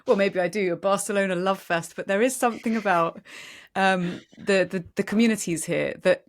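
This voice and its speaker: A woman's voice: medium pitch, brisk. A woman hosting a podcast, talking in a chatty way with rambling asides and enthusiasm. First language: French. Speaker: podcast host. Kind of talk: conversational interview. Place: Barcelona.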